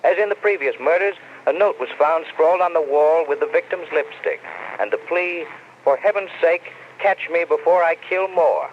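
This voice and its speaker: An actor playing a formal, urgent newsreader heard through a radio set, in 1940s bulletin style. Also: male voice, on the high side, lively intonation, normal speed, slight background noise. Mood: grave, urgent. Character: urgent radio newsreader reporting grisly killings. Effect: radio set filter. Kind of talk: 1940s news bulletin reading. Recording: thin phone-like old radio sound.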